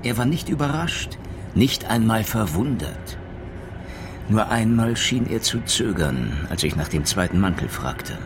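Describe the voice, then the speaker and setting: A shrewd police inspector in a radio crime drama. His low voice swings widely in pitch as he probes and recounts his case with deliberate authority, with prominent music in the production. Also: animated. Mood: reflective, narrating. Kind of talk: German radio crime drama, dialogue and narration.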